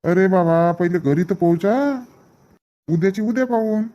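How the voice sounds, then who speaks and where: A man's voice: high voice, normal speed, dark tone, natural voice. Man acting, cartoon village farmer, easygoing manner, comedy dialogue. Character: easygoing village farm men, labourer and owner.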